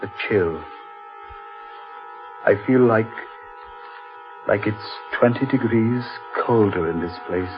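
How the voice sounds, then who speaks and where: A man playing an earnest, impressionable character in an old radio horror drama, husky and deliberately dramatic. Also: on the low side. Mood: uneasy. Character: earnest, impressionable man sensing creeping evil. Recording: muffled old radio recording, music behind.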